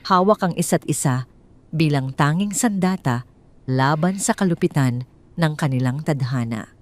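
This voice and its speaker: A woman with a Filipino accent reads a scripted dramatic story in a solemn, deliberate way, with short pauses between phrases. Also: deep voice, a little mellow. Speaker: story narrator.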